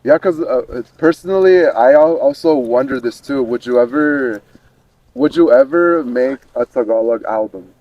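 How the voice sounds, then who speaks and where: A man's voice: mid-range voice, moderate pace, darkish tone, no room sound, highs cut off. A man asking interview questions in a rambling, hesitant way with lots of fillers. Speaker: interviewer and filmmaker.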